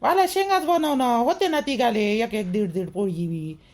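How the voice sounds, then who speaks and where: Village mother-in-law for a cartoon, high-pitched, directing yet relaxed in temper, Vidarbha Marathi accent female performer. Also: moderate pace, slight background noise, lightly acted. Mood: matter-of-fact, directing. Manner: matter-of-fact, directing, permissive. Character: bossy but easygoing middle-aged village mother-in-law.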